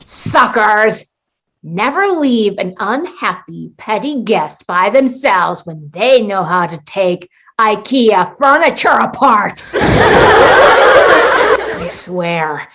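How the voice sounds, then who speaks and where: A woman's voice: mid-range voice, very animated, very slow, a bit bright, a little hiss or hum, exaggerated voice. A woman acting in a comedic radio play as a disgruntled, petty schemer trapped in a holiday sitcom, theatrical and defiant. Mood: gleeful, petty.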